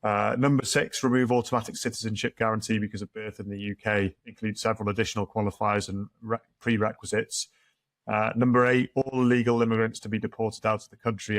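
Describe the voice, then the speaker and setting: A man hosting a podcast interview, asking measured questions and reading points out one by one. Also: on the low side, no room sound. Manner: measured, reads points out item by item. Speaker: podcast host.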